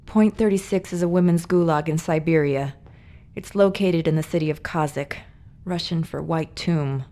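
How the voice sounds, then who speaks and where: A woman's voice: low-pitched, moderate pace, warm tone. A resolute female field agent. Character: tough, determined woman agent, ex-prisoner.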